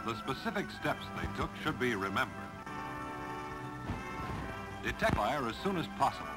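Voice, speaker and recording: Animated American 1950s training film narrator, man. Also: mid-range voice, normal speed, low-quality recording. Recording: vintage film soundtrack with music.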